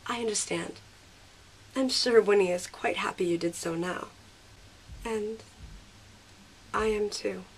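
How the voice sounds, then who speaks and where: Woman acting spirited, expressive teenage girl. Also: mid-range voice, slow, long pauses, slightly rough, low-quality recording. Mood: apologetic, tender. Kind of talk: amateur audio drama acting.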